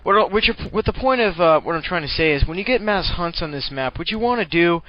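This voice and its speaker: A man's voice: high-pitched, expressive, brisk, muffled recording. A man giving casual, rambling commentary on a game replay, with profane, jokey slang.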